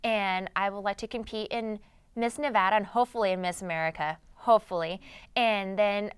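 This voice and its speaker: A woman's voice: medium pitch, steady tone, a little fast, clear, resonant tone, no room sound. A young woman from Thailand, a native Thai speaker, answering interview questions in a casual conversational way. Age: young adult. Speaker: interview guest, pageant titleholder and journalism student.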